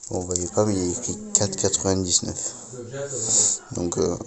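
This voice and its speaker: A man's voice: low voice, little pitch movement, normal speed, gravelly, a crowd in the background, low-quality recording. A man chatting casually to his viewers as a vlogger, speaking informally. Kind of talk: casual vlog chat to viewers.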